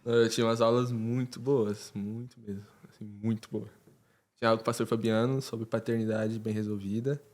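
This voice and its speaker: A man's voice: lowish voice, brisk. A man from Brazil, a podcast guest, chatting casually and telling stories with frequent laughter. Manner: laughing, animated storytelling. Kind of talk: casual chat.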